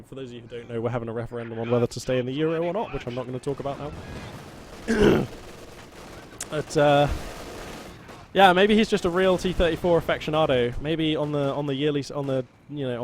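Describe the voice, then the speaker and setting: A man's voice: medium pitch, fast. A British man giving rambling, jokey live commentary on a strategy game. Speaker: game caster. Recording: loud game battle sounds behind. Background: gunfire, artillery and vehicle noise from the game.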